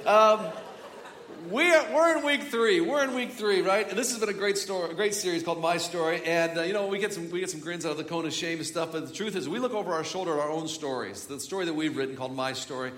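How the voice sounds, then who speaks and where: Joking pastor in an echoey hall. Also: male voice, on the high side, fast, a bit bright. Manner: chatty, joking, with frequent restarts. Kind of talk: sermon. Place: large church hall. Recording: echoey church hall from far off.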